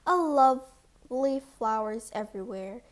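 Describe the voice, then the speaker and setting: A woman with a high-pitched voice tells a children's fairy tale. She reads very slowly and deliberately, in the style of a scripted storybook narration. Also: lively intonation.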